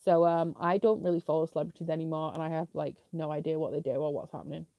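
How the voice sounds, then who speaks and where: A woman's voice: low voice, steady tone, quick pace, no echo, highs cut off. A young woman with a British accent, sniffly with a slight cough, chatting casually as she answers viewer questions in a live stream, with lots of "like" fillers. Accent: British English.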